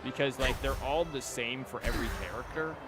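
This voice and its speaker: Man streaming games over loud music. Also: medium pitch, fairly quick. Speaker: game streamer. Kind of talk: casual gameplay commentary. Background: game music.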